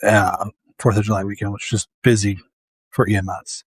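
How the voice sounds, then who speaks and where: Worn out paramedic guy explaining stuff to camera, kinda husky, a bit low, dry room. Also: level tone, fairly quick, no room sound. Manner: tired, earnest, explaining. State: losing his voice. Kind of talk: casual talk to camera.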